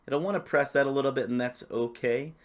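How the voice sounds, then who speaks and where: A man narrating a step-by-step tutorial off the cuff, in a casual, instructional way with softened phrasing. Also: mid-range voice, quick pace. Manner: casual, instructional, hedging with "kinda" and "a little bit". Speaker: repair tutorial presenter.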